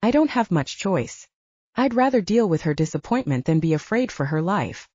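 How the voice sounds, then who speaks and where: A woman voicing an anxious, affectionate young woman writer in an expressive, wry, exasperated audiobook style. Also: fairly low pitch, normal speed, no echo, dull audio. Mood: worried, resigned. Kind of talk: audiobook dialogue acting.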